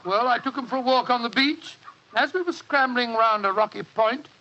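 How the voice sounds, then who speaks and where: A man's voice: very high-pitched, moderate pace, bright, forceful tone, a little hiss or hum. An actor playing a jovial elderly English doctor in a 1940s radio drama, avuncular and blustering, repeating himself as he tells his stories. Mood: jovial, chatty. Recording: dull 1940s radio broadcast.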